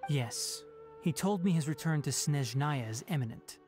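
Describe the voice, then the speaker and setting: A calm, earnest young ranger scholar, played by a man, measured and firm. His delivery has lively pitch movement while staying composed. Soft game music sits underneath. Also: lightly acted. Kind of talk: video game voice acting.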